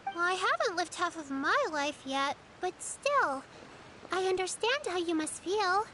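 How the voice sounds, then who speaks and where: Very high bright little kid game character, earnest and polite, faint noise. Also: female voice, lively intonation, measured pace, heavily acted. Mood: earnest, doubtful. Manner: earnest, precocious, politely helpful. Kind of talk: video game voice acting.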